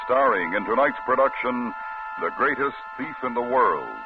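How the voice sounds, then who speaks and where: A man announcing an old-time radio thriller show in a stately, deliberate, dramatic style. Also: mid-range voice, animated. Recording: tinny old radio, loud music behind.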